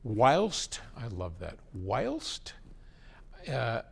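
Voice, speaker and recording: A man with a mid-range voice hosts a listener question segment. He talks slowly and informally, giving advice in a conversational style. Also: mid-range voice, huge pitch swings. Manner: conversational, informal, with frequent throat clearing. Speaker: host answering listener questions. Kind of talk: casual advice talk.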